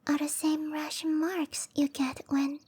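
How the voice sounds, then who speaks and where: Woman voicing a soft, high-pitched dryad girl. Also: little pitch movement. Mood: torn, concerned. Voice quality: soft, breathy, often whispered. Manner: musing to herself, slow and tender. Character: gentle cherry blossom dryad girl, sweet and caring. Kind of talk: ASMR roleplay.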